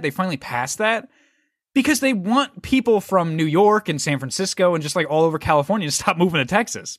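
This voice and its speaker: A man's voice: a little high, a bit bright, no room sound, studio quality. A man hosting a podcast, chatting casually and joking, swearing freely. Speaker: podcast host. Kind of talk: casual chat.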